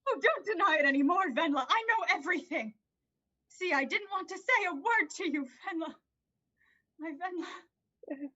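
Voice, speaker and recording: A woman's voice: very high-pitched, expressive, moderate pace, pauses often, sharp tone, lo-fi sound, heavily acted. A woman playing an anguished, pleading mother in a dramatic reading of an old stage play. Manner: emotional, imploring, repeats herself. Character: anguished, evasive, pleading mother of a teenage girl.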